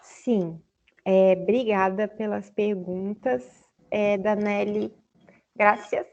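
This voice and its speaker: A woman's voice: medium pitch, fairly even intonation, slow pace, vocal fry, darkish tone. A woman guest lecturer answering seminar questions over a video call, explaining at length with many fillers. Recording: slightly dull online audio.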